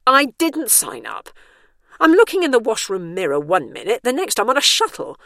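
A woman's voice: fairly high pitch, wildly swooping pitch, moderate pace, vocal fry, dry recording, clean studio sound, pitched above the performer's own voice, full character voice. Bernice, a woman doing a fiery indignant heroine. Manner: loud, exasperated, sarcastic. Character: indignant sharp-tongued woman stuck in retirement complex. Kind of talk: audiobook character voice.

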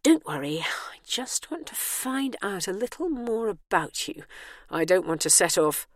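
Lady reader doing audiobook heroine, kinda flustered and sorry, wry, bouncy pitch, very dry sound. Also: very animated, measured pace, no echo. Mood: curious, flustered. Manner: hesitant, wry, conversational. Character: awkward, apologetic, plucky woman adventurer. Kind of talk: audiobook character voice.

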